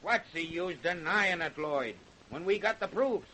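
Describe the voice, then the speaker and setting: A man's voice: high-pitched, expressive, measured pace, bright, forceful tone, heavily acted. An actor playing a stubborn, folksy backwoods lawman in an old-time radio drama, with a hectoring, colloquial way of talking. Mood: accusing, insistent. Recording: hissy, noisy old radio recording.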